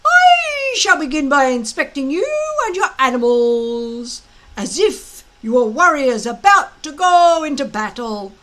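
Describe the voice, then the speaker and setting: A woman reading an audiobook, voicing a blustering, shouting male Viking teacher. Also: high-pitched, very animated, pitched above the performer's own voice, heavily acted. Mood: pompous. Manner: bellowing, gloating, drawn-out. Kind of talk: audiobook character voice.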